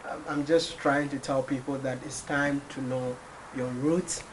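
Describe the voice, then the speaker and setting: A man's voice: medium pitch, fairly even intonation, measured pace, a little hiss or hum, poor audio. A man with a Ghanaian accent hosting a casual interview, talking in an informal, eager way. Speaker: interviewer, video host.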